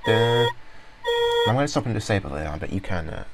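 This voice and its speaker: A man casually talking through a software demo, rambling with many fillers and restarts. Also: fairly low pitch, big pitch swings, quick pace, loud music behind the voice. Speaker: software reviewer. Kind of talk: informal screen-recorded walkthrough.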